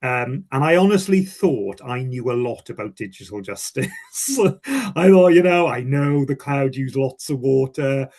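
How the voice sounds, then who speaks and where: A man with a Welsh accent speaks at a medium pitch, giving a first-hand account as a communications professional. His delivery is informal and reflective, with repeated words and small self-corrections. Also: lively intonation, moderate pace, few pauses, darkish tone. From Wales. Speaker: communications director giving a testimonial.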